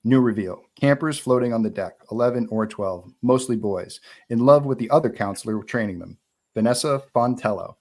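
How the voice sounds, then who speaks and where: A man's voice: mid-range voice, normal speed, darkish tone. A man reading out screenplay stage directions as narrator at a live table read.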